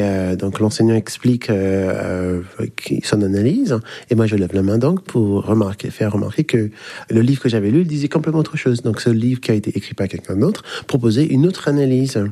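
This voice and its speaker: A male lecturer from the English-speaking Caribbean, whose first language is English, tells a personal anecdote. His voice is lowish and relaxed, and he picks his words in a hesitant, measured way. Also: gravelly. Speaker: university linguistics lecturer, interviewee.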